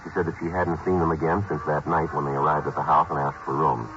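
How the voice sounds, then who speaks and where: An actor playing a terse, matter-of-fact police detective who also narrates, in a 1950s radio drama. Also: male voice, very deep voice, quick pace, soft background music. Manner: clipped, flat, matter-of-fact delivery. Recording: muffled old radio broadcast with hiss.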